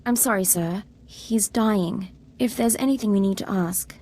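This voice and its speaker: A woman's voice: mid-range voice, a little slow, warm tone, lightly acted. A woman playing an earnest, uneasy military subordinate in a video game, hesitant and deferential. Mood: subdued, earnest. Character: earnest, uneasy young female Imperial subordinate. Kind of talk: scripted video game dialogue.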